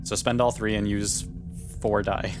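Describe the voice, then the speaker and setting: A man's voice: on the low side, little pitch movement, a little slow. Casual man player, music behind. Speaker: tabletop roleplaying player. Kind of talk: casual table chat. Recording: background music under the voice.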